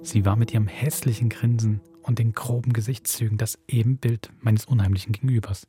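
A man with a Swiss accent hosting a podcast, reading a spooky story aloud in a measured storytelling manner. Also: lowish voice, fairly even intonation, dark tone. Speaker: podcast host.

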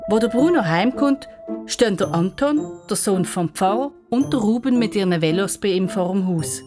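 A woman's voice: mid-range voice, lively intonation, moderate pace. A woman narrator reading a scripted children's story. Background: music.

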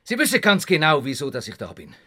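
A man's voice: on the high side, wildly swooping pitch, fast talker, bright, forceful tone, heavily acted. A man acting a stern, pious village pastor in a radio play, solemn and reproachful. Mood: admonishing.

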